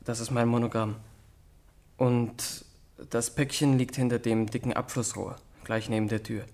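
An actor playing a timid, contrite young male student suspect in a radio drama, speaking haltingly and earnestly. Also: mid-range voice, flat delivery, a little mellow, natural voice. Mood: pleading. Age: young adult. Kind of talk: German radio drama acting.

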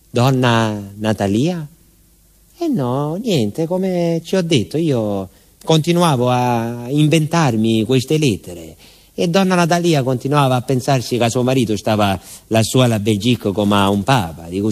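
A man's voice: mid-range voice, a little mellow, natural voice. An actor playing an aging southern Italian village man, a grieving former miner, telling his story in a colloquial theatrical monologue to an unseen listener. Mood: wistful, confiding. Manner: colloquial, rustic, addressing an unseen listener with rhetorical questions. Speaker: stage actor.

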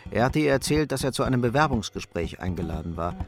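A man's voice: medium pitch, big pitch swings, quick pace, over music. A man narrating a crime radio play in a sober, scripted storytelling style.

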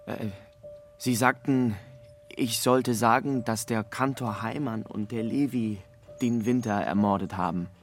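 An actor playing a simple young small-town rag dealer who answers haltingly and evasively in a radio drama. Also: male voice, mid-range voice, steady tone, soft background music, lightly acted. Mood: evasive, submissive.

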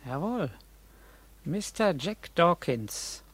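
An actor playing a cocky young streetwise pickpocket with a husky, teasing voice in a 1950s German radio drama. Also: male voice, a little high, big pitch swings, drawn-out words, fairly bright tone, full character voice. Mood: cheeky, boastful. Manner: jaunty, teasing, chuckling.

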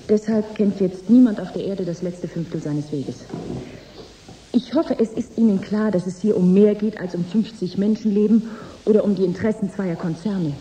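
A woman's voice: medium pitch, mellow, reverberant, lightly acted, poor audio. A woman playing a resolute, defiant businesswoman in a radio drama, speaking in formal, emphatic phrases. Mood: defiant, firm. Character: resolute businesswoman Marianne, grave, defiant, warning negotiator.

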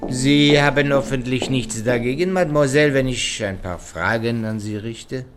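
An actor playing a courteous, probing foreign detective in a radio drama, questioning politely and deliberately. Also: male voice, mid-range voice, over music, lightly acted. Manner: polite, formal, deliberate questioning with small hesitations.

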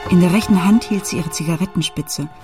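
An actress playing a desperate mother in a radio play, telling a family story at her daughter's sickbed in measured, literary phrases. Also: on the low side, close to an everyday voice. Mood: reflective storytelling. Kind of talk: radio play narration with inner monologue. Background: music.

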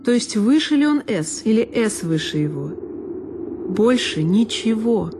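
A woman voicing a measured worldly gentleman. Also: a little high, very animated, noisy background. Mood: thoughtful. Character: thoughtful worldly man judging a musician. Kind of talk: radio play reading. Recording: long reverb with violin music.

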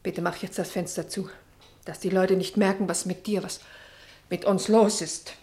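An actress in a German radio play playing an anxious, grieving wife with a hushed, pleading manner. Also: female voice, medium pitch, fairly even intonation, moderate pace, echoey room, natural voice. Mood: pleading, distressed.

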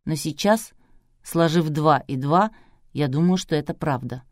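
A woman voicing a quietly confident, resolute man in an audiobook, measured, firm and grave. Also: low-pitched, dry recording, clean studio sound.

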